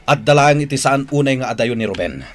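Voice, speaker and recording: An actor playing a commanding, vengeful gang boss in an Ilocano radio drama, with a forceful theatrical manner. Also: male voice, medium pitch, lively intonation, quick pace, a crowd in the background, close to an everyday voice. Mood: shouting orders. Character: commanding gang boss hunting his rival, vengeful man.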